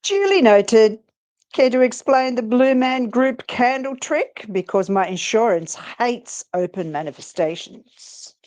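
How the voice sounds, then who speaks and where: Unflappable female psychic investigator, read by a woman, wry and flirty, unhurried, paranormal romance drama. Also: a little high, lively intonation, a little mellow, pitched above the performer's own voice. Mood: dry, flirty. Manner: sassy, wry, deliberate delivery.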